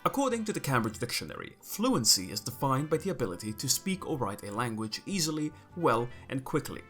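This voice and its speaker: A man's voice: mid-range voice, sing-song, a little fast, a bit bright, faint music behind. A young man from Croatia, a native Croatian speaker, giving a scripted and opinionated explanation to his viewers with dry asides. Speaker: video creator. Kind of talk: scripted talk to camera.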